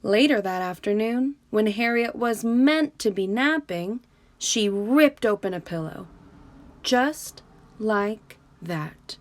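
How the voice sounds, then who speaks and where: A woman's voice: medium pitch, lively intonation, takes it slowly, no echo. A woman reading a picture book aloud for children, narrating and speaking to her young listeners directly. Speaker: storybook reader.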